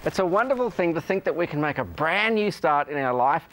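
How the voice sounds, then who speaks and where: A man's voice: a little high, lively intonation, a little fast, fairly bright tone, rough recording. A man hosting a religious TV talk show in a studio, asking earnest, leading questions.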